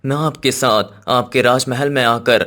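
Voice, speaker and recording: A man voicing a solemn, measured holy sage. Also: medium pitch, fairly even intonation, bright, forceful tone. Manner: formal, measured, Sanskritized diction. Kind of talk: cartoon moral-story acting.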